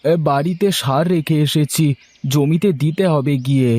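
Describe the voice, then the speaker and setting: A man's voice: a little high, normal speed, darkish tone, no echo, close to an everyday voice. A man voicing an honest, humble village farmer in a cartoon, respectful and plaintive. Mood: earnest. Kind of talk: cartoon dialogue.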